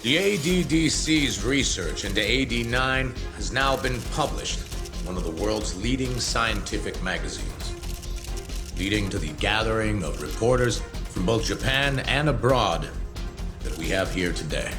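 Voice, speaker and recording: An actor playing a presenter who speaks formally, announcing to the press. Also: male voice, on the low side, expressive, measured pace, music in the background. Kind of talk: video game cutscene voice acting.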